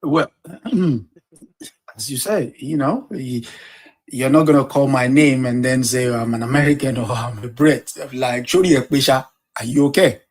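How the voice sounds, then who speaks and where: A man's voice: mid-range voice, moderate pace. A man joining a casual news chat in a laid-back, joking way.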